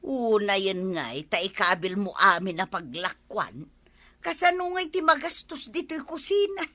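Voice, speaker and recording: A woman's voice: medium pitch, sing-song, moderate pace, bright, forceful tone, no room sound. A woman with an Ilocano Filipino accent playing a vain, scheming housewife in a comedy radio drama. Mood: complaining. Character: vain, scheming housewife keen on beauty products.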